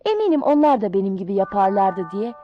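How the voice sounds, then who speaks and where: Expressive woman telling a fable. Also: mid-range voice, fast talker, highs cut off, faint music behind. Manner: expressive, sing-song storybook delivery. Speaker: storyteller. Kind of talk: reading a children's fable aloud.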